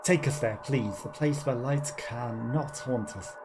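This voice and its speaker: Sorrowful game spirit, acted by a man: hushed, unhurried lament, gentle and eerie, music clearly underneath. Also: medium pitch, natural voice. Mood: mournful, gentle. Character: eerie sorrowful ghost crooning gently to children. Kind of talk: video game lore read in character.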